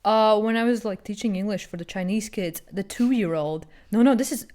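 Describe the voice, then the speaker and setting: A woman co-hosting a podcast in casual chat, speaking haltingly and searching for her words. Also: medium pitch, darkish tone. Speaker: podcast co-host.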